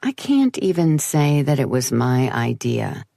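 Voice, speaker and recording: Low-voiced woman reading an audiobook, dry sound. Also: big pitch swings, a little slow, creaky, mellow, no room sound. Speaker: audiobook narrator. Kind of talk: scripted first-person storytelling narration.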